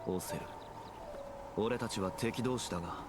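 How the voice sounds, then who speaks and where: Man playing a grave, low-voiced ancient warrior. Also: quick pace, over music, natural voice. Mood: solemn. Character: solemn, composed ancient warrior man recalling old wars. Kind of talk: dramatic Japanese video game voice acting.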